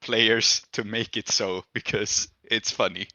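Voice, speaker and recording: A man's voice: lowish voice, steady tone, slow pace, sharp tone, no room sound, poor audio. A man improvising as game master of a tabletop game, narrating casually with an amused, chuckling manner.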